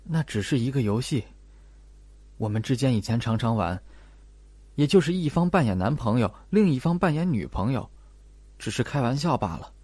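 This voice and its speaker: A man voicing a soft-spoken, hesitant young friend in an audiobook, explaining quietly and slowly. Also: medium pitch, expressive, breathy edge, no room sound. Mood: subdued. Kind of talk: audiobook character acting.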